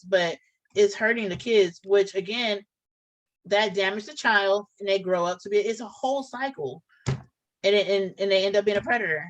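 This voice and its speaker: A woman's voice: mid-range voice, muffled recording. Earnest, rambling woman co-host. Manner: earnest, rambling, with many restarts. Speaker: co-host of a reaction channel. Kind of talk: casual reaction chat.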